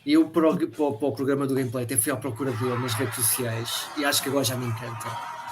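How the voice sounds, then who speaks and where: A man with a European Portuguese accent in casual podcast chat, relaxed and repeating words as he talks. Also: mid-range voice, racing, noisy background.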